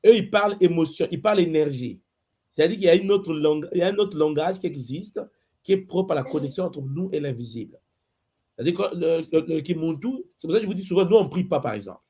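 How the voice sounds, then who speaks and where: A man hosting a spiritual talk show, calmly reassuring a caller and calling her maman. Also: fairly high pitch, quick pace, husky.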